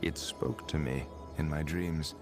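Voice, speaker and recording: A grave, determined adventurer in a fantasy video game. His extremely deep voice moves slowly and seriously, with music clearly behind it. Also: steady tone. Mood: grave, resolute.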